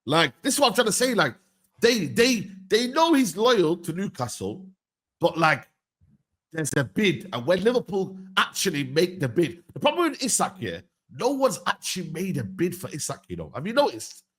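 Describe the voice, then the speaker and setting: A man's voice: high voice, very animated, normal speed, a bit bright, slightly rough. A man hosting a casual football debate, slangy and insistent, often repeating himself. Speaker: host of a football talk stream.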